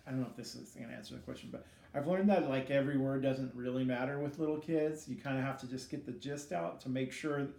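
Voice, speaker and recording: A middle-aged man giving casual, reflective interview answers in a hesitant, halting way, as a student teacher being interviewed. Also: mid-range voice, sing-song, creaky, darkish tone. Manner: hesitant, halting, self-critical. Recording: echoey room with faint background noise.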